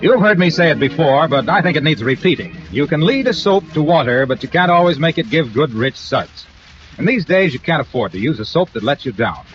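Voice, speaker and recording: Animated male old-time radio announcer over music. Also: on the high side, a little fast. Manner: emphatic, polished sales delivery. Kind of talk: 1940s radio sponsor announcement. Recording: muffled old radio broadcast.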